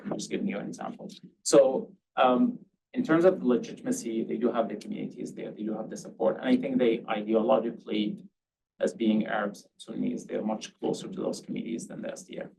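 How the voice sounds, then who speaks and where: Gravelly low-voiced man on a policy panel. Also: darkish tone. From Syria. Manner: hedging, restarts often with um and I mean. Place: panel event hall. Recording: distant mic, faint background noise.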